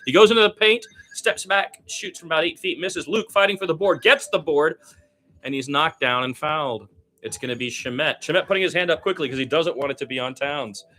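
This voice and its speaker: A man giving live basketball commentary as an amateur radio announcer, animated on the plays and wry and musing in his casual, rambling asides. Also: fairly high pitch, a little fast.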